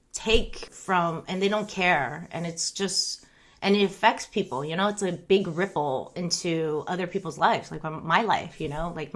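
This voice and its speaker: A woman's voice: fairly low pitch, fairly bright tone. Candid, laughing American woman streamer. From United States. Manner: rambling, candid, laughs often. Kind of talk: casual chat.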